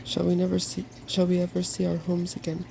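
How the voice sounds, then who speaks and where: A softspoken reader voicing a weak, hungry old hippogriff from a fairy tale, plaintive and doubtful. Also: mid-range voice, completely flat delivery, a little fast. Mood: sad. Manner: plaintive, hesitant. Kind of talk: fairy tale storybook reading. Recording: clearly noisy background.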